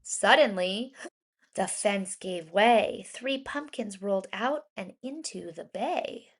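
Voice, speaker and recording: A woman reading a rhyming children's story aloud in an expressive, deliberate storytelling manner with emphasized words. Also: medium pitch, husky. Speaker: children's storybook reader, educator.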